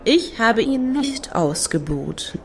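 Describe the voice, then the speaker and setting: A woman's voice: on the high side, big pitch swings, slow pace, slight background noise, full character voice. A woman in a radio play acting a matter-of-fact club-goer with a plain, slightly defensive manner.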